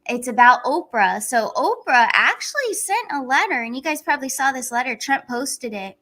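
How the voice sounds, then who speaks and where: A woman hosting a casual livestream, chatty and excitable, laughing often as she talks to her viewers. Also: sits high, normal speed. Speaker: livestream host.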